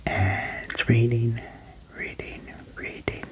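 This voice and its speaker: A young man whispering gently into a close microphone in an ASMR medical roleplay, with short reassuring phrases. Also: medium pitch, little pitch movement, takes it slowly. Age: young adult. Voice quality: whispered, breathy. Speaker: ASMR performer playing an alien doctor. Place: small wood-panelled room indoors. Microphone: close microphone with furry windscreen.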